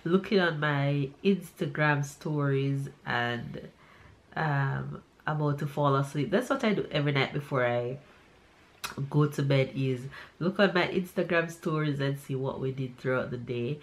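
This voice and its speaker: A woman's voice: low voice, unhurried. A woman from Jamaica chatting casually to camera in a family vlog, with a stuffy, nasal voice. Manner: chatty, upbeat, lots of fillers. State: stuffy nose. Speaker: vlogger. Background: background music.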